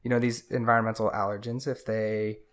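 A man's voice: fairly low pitch, level tone, a little fast. A man co-hosting a podcast, explaining in a casual chat with many fillers. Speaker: podcast co-host.

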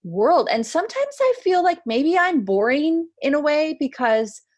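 A woman's voice: high voice, very animated, measured pace, darkish tone. Enthusiastic, reflective woman podcast host. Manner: reflective, enthusiastic, mimics sing-song labeling. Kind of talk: casual conversational chat.

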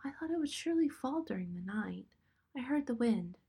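A woman voicing a sick, weary young woman who speaks quietly, almost in a whisper. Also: fairly high pitch, expressive, brisk, gravelly, husky, voice pushed up, heavily acted. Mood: resigned. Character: weak, ill young woman.

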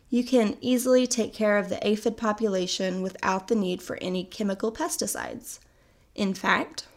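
A woman's voice: medium pitch, vocal fry. A young woman from North America hosting a casual solo podcast, chatty and upbeat, sounding a little sickly from a cold. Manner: chatty and upbeat, explaining facts. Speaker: podcast host.

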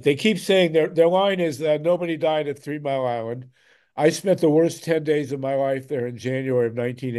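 A man's voice: medium pitch, warm tone. A man hosting a talk show over a video call, speaking emphatically and repeating words when something moves him. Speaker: host.

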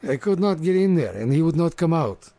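A man voicing a huge, jovial male orc chef who speaks broken English in a booming, blunt way. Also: sing-song, a little fast, mellow, dry recording. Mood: boastful.

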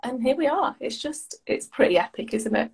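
English woman, guest on a call, breathy tone, higher pitch, enthusiastic. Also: expressive, normal speed. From United Kingdom. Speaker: guest coach. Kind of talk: casual chat.

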